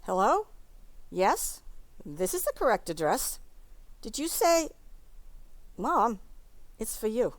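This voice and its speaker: A woman's voice: on the high side, big pitch swings, slow, long pauses, no room sound. A woman playing a hesitant, curious adult daughter in an amateur radio play, full of questions. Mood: curious, surprised.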